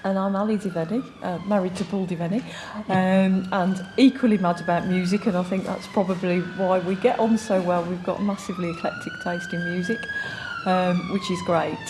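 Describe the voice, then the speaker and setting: A woman's voice: medium pitch, very animated, brisk, background noise. A woman who speaks in a bubbly, enthusiastic way.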